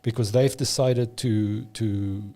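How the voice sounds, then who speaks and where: Mellow-voiced man. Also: fairly low pitch, steady tone, slow. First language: Afrikaans. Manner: emphatic, opinionated, frequent restarts. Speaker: podcast guest. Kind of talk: casual conversation.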